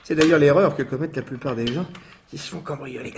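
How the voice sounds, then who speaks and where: An actor playing a practical, deferential police subordinate in a radio mystery. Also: male voice, fairly high pitch, fast, noisy background, close to an everyday voice. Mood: cautious. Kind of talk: 1950s-style French radio mystery acting.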